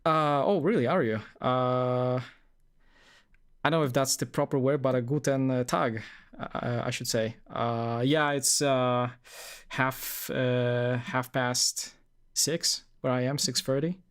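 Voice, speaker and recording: Young guy from poland rambling on stream, lots of uh and like, mid pitch. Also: fairly even intonation, takes it slowly, clean tone. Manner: rambling, many fillers and restarts. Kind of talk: casual live-stream chat.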